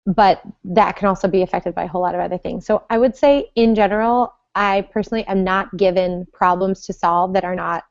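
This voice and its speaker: A woman's voice: mid-range voice, steady tone, a little fast, gravelly, hardly any pauses, dry recording, muffled recording. A woman on a podcast panel talking about support work, hesitant, with many ums as she thinks aloud. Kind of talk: casual panel chat.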